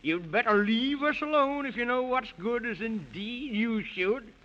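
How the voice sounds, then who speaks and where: A man's voice: very high-pitched, expressive, measured pace, fairly bright tone, heavily acted. A man acting a whimsical, protective old Man in the Moon, fussy and exclamatory, in a 1930s children's radio play. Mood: indignant. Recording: muffled lo-fi 1930s radio recording.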